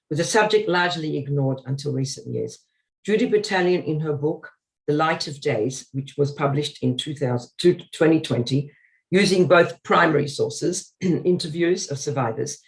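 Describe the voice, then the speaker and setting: A man's voice: on the high side, creaky, low-quality recording. A man giving an earnest community lecture, partly read from notes, with frequent ums and uhs as he explains. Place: synagogue talk.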